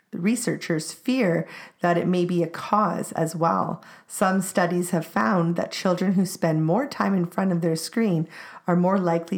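A woman in her forties co-hosting a parenting podcast, reading out guidelines and research in a steady, informative way. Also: on the low side, creaky. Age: 40s. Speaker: podcast co-host.

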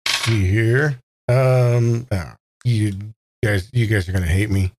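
A man who is a player in a tabletop game, casually describing his character's actions in short, halting phrases. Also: lowish voice, a little mellow, no room sound.

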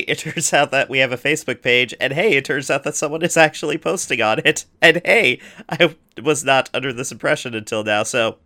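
A man co-hosting a podcast, teasing his partner in a wry tone and laughing often. His voice is bright and forward at a mid pitch. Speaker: podcast co-host. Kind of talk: casual chat.

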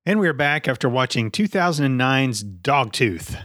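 A man's voice: mid-range voice, animated, normal speed, a bit bright, dry recording, clean studio sound, faint music behind. A man in his fifties hosting a movie podcast, chatting casually and swearing freely. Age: 50s. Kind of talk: casual, profane podcast chat.

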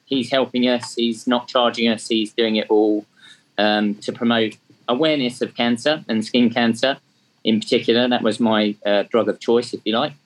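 A man's voice: medium pitch, rough recording. English man, 50s, slight aussie tinge, casual guest chat, self-deprecating. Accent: English, with some Australian tinge. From England. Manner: chatty and self-deprecating, frequent ums. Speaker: guest, charity track day organiser. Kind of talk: casual interview talk.

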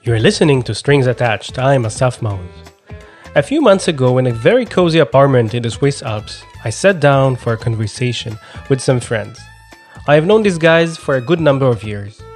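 A man's voice: mid-range voice, expressive, moderate pace, a little mellow, soft background music. A man hosting a podcast, speaking in a personal, storytelling style. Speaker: podcast host; violinist. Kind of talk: personal narration and conversation.

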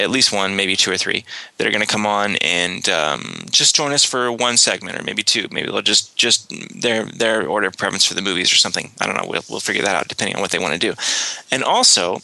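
Male podcast host, low voice, very bright tone, dry close mic, rambling with fillers, slight cold. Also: no room sound, low-quality recording. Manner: rambling, many fillers and restarts. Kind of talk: casual podcast announcements.

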